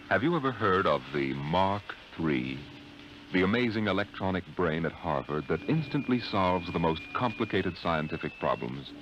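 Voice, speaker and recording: A man's voice: low voice, fairly bright tone, highs cut off. A man announcing in 1950s radio style, with a dramatic, teasing delivery, heard on a noisy old broadcast recording.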